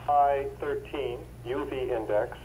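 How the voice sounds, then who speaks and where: A man reads out a weather forecast in a measured, matter-of-fact voice, pitched in an ordinary middle range. He comes through a small radio speaker with clear static noise around him. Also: fairly even intonation, dark tone, roomy sound, low-quality recording. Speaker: weather broadcaster.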